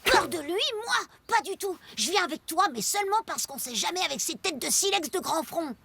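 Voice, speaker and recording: A woman's voice: extremely high pitch, animated, brisk, vocal fry, a crowd in the background, heavily acted. A woman voicing a small, boastful prehistoric caveboy in a cartoon, dramatic and exclamatory. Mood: indignant, wary. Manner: exclamatory, dramatic, comic made-up curses. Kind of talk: animated cartoon dubbing. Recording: loud music behind the voice.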